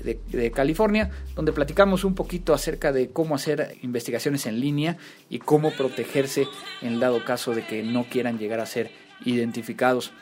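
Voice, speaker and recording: A man's voice: mid-range voice, darkish tone. Chatty man hosting a podcast. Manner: chatty, frequent fillers and restarts. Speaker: podcast host. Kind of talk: casual podcast talk.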